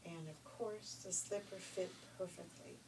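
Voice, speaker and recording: An older woman telling a fairy tale informally, in a folksy, expressive, chatty way. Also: on the low side, a little slow. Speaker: storyteller. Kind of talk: informal read-aloud fairy tale storytelling with asides. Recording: distant mic with faint background noise.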